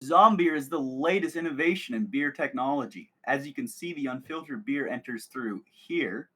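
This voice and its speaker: A man playing a cheerful commercial pitchman, delivering salesman-like slogans for a spoof advert at a table read. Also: normal speed, sharp tone. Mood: smooth salesmanship. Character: cheerful male commercial pitchman selling burgers and beer.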